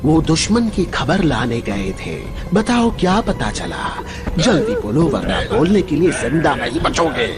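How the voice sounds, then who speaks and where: A man's voice: a little high, sing-song, a little slow. A man playing a threatening, commanding tyrant dinosaur chief in a dubbed cartoon. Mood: menacing. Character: menacing tyrant dinosaur chieftain, male, hungry for power. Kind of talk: dubbed cartoon villain acting.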